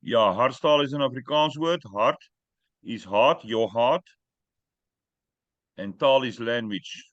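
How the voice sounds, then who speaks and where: Man, call host, english not his first language, blunt and halting, muffled dry sound. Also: medium pitch, a bit bright, no echo. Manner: direct, plain-spoken, halting. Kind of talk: casual group call chat.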